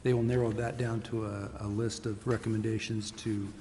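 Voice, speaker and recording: A male city official explains things in a low, even voice with very little pitch movement. The delivery is that of plain public business. It is recorded in a large, reverberant hall. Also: normal speed. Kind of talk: explanatory remarks in a public meeting. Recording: echoey hall with long reverb, faint noise.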